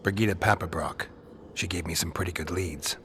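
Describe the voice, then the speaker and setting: An actor playing a stoic monster hunter in a fantasy game, speaking tersely and deadpan in a gravelly voice. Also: male voice, low-pitched, a little fast, slight background noise. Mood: matter-of-fact. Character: gruff, stoic male monster hunter for hire. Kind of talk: video game dialogue acting.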